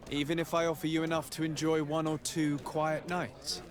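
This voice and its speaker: A man playing a courteous, composed noble envoy in a video game, with formal, measured speech. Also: on the high side, little pitch movement, close to an everyday voice. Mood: measured, persuasive. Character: polite, composed, probing young nobleman envoy. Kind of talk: video game dialogue acting.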